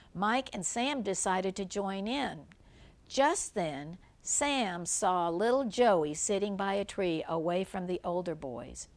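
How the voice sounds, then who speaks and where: An older woman reading a children's picture book aloud as a grandmother would, stopping to point things out simply. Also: medium pitch. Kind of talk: read-aloud storybook narration with asides about the pictures.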